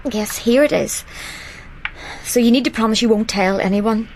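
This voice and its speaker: A woman's voice: on the high side, moderate pace, noisy background, close to an everyday voice. A woman acting in a radio play as an anguished, protective young woman, pleading and defensive under strain. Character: anguished, protective young woman caring for her dying partner.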